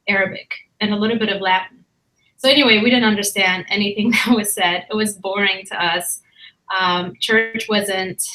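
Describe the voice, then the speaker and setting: A woman from Ethiopia, a guest sharing her life story in a relaxed, open way with many pauses and fillers. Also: medium pitch, rough recording. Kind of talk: casual personal storytelling.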